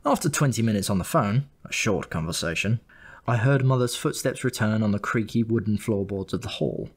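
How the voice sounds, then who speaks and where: A man with a fairly low voice narrates a comic first-person story. His delivery is wry and dry, like a scripted audiobook read. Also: lively intonation, brisk, slightly rough.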